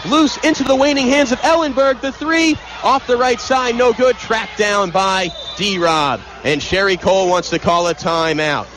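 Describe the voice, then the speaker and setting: A man calling live basketball play-by-play on the radio in vivid, clipped phrases, from an indoor arena. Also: sits high. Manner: vivid, clipped, rapid-fire calls. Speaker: play-by-play sportscaster. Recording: loud crowd noise behind, live arena broadcast. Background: loud crowd.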